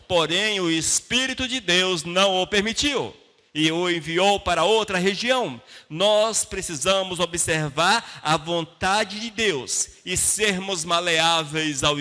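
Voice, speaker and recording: A man's voice: sits high, measured pace, bright, forceful tone. A Brazilian man preaching a sermon to a congregation as a pastor, teaching in plain colloquial words with rhetorical questions and short emphatic phrases. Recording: long hall reverb.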